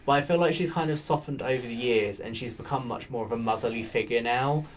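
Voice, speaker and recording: A man hosting a relaxed podcast, talking in a soft, soothing voice. He rambles along with plenty of ums and likes, his pitch sitting in an ordinary middle range. A faint hiss of background noise sits under the recording. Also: fast talker. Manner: rambling, chatty, with many fillers. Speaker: podcast host. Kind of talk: casual chat.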